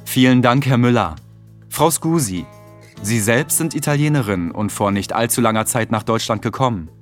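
A man reading short news texts and hosting a scripted radio call-in in a measured, clear way. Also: medium pitch, lively intonation, soft background music. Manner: measured, clear read-aloud delivery. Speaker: reader of exam listening texts.

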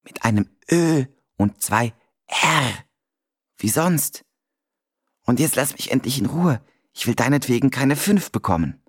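Impatient pupil, hushed, breathy voice, classroom whispering. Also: mid-range voice, lively intonation, measured pace. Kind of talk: scripted dialogue.

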